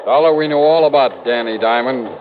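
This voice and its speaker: Man acting as a gruff radio police sergeant, noisy recording. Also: medium pitch, a little fast, narrow, tinny audio, lightly acted. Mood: frank, resigned. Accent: American. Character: plain-talking, wary male police sergeant. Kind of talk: 1950s-60s radio drama acting.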